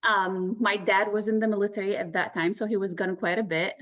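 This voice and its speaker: A woman's voice: medium pitch, fairly even intonation, fairly quick, fairly bright tone. Woman from Iraq, slightly dull audio. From Baghdad, Iraq. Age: 30s to 40s. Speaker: interview guest, real estate agent. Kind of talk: personal storytelling in a casual interview.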